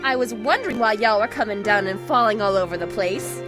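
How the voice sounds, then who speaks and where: A woman playing a cheerful, breezy, perceptive schoolgirl in an audio drama, with an energetic, folksy manner. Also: mid-range voice, a little fast, lightly acted. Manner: energetic, folksy, laughs easily. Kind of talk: audio drama character acting. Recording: music behind the voice.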